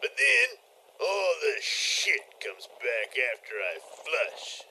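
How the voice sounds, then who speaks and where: A man voicing a crude, bossy vampire in a comic audio play, with a gruff, sarcastic, hesitating delivery. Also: mid-range voice, huge pitch swings, takes it slowly, bright, forceful tone, a little hiss or hum, full character voice, rough recording. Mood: evasive, chummy. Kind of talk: comedic audio play acting.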